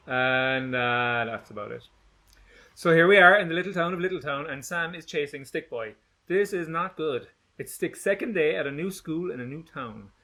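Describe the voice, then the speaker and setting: A man's voice: fairly high pitch, pushed and bright. A man narrating a funny children's book in a playful, jokey way. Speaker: audiobook narrator, author.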